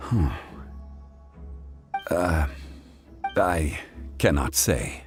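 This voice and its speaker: Casting: very low-voiced ancient male deity for a game, dignified, measured, calm, world-weary. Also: exaggerated voice. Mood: calm, regretful. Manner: formal, measured, solemn. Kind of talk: video game character acting. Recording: music behind the voice.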